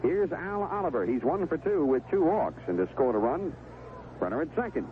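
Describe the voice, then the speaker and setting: A man's voice: fairly high pitch, very animated, moderate pace, narrow, tinny audio, a little hiss or hum. An American man calling baseball play-by-play in a live radio commentary style, on an old 1970s broadcast. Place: stadium broadcast position.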